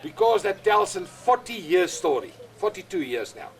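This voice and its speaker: An older man with an Afrikaans accent, whose first language is Afrikaans, talking plainly and repetitively in halting English as a goat breeder outdoors on a farm. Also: sits high, very animated, faint music behind. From South Africa. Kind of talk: casual explanation on a farm.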